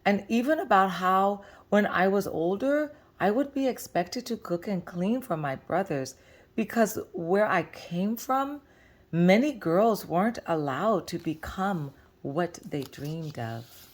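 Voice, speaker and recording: A woman reading a picture book aloud to children as a storyteller, with deliberate, expressive phrasing. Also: medium pitch.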